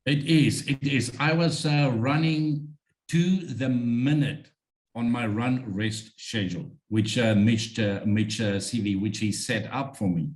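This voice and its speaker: A man's voice: medium pitch, unhurried, a little mellow, low-quality recording. A South African man speaks as an interview guest, earnest and heartfelt. He often restarts his sentences and stops to find his words. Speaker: guest, sled dog musher. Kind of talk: conversational interview.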